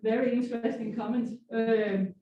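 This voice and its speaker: Mellow woman leading a discussion. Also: fairly high pitch, steady tone, normal speed. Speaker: workshop leader. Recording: echoey hall, far, muffled.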